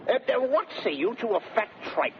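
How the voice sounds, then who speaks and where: An actor playing an impudent, sly comic manservant in 1930s radio Shakespeare, with a teasing, hemming delivery and a British accent. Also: male voice, very high-pitched, very animated, moderate pace. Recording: muffled, noisy vintage 1930s radio broadcast.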